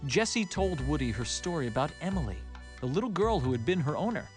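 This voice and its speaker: A man narrating a scripted children's read-along story in an expressive, animated storytelling manner. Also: fairly high pitch, quick pace. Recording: music behind the narration.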